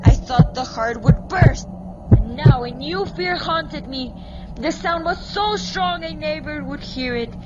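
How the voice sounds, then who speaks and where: A woman playing a nervous, mad murderer who insists on sanity, in a deliberate, dramatic gothic horror monologue. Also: high-pitched, very noisy. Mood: terrified, frantic. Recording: dull lo-fi recording, loud music over voice. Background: prominent background music.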